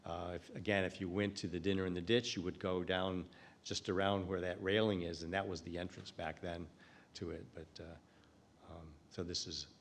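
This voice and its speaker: A very low-voiced man gives a talk at a conference. He pauses often and restarts his sentences, filling gaps with "uh" as he walks through his slides. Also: steady tone, a little hiss or hum. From United States. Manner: halting, many fillers and restarts. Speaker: conference presenter. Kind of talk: live presentation talk. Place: conference room.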